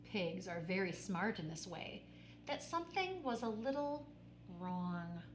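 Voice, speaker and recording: A woman storyteller reads a children's book with very lively pitch and dramatic emphasis on key words. Her voice echoes in the room, with faint noise behind it. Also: measured pace. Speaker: storyteller reading a picture book aloud. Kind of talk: expressive children's read-aloud narration. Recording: roomy echo, faint background noise.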